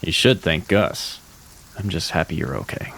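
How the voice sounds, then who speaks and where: A man playing a gentle, earnest mage mentor in a fantasy audio drama, speaking in a measured, reassuring way. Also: low voice, animated, full character voice. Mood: soothing. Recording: music and rain behind the voice. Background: music, rain.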